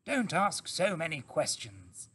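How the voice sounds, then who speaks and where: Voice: mid-range voice, big pitch swings, slow pace, a bit bright, breathy edge, voice pushed up, heavily acted. A storybook narrator voicing a clever father fox who sounds cheerful and commanding. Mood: confident.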